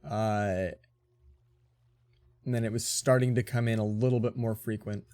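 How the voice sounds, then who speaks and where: A man's voice: fairly low pitch, little pitch movement, unhurried, darkish tone, clean tone. Rambling man in his forties. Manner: rambling, chatty storytelling. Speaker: let's play host. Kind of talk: casual gameplay commentary.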